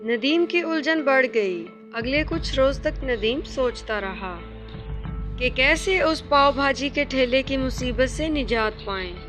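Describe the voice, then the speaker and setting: High-pitched, slow-paced woman storyteller. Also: animated. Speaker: story narrator. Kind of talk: scripted moral story narration. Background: music.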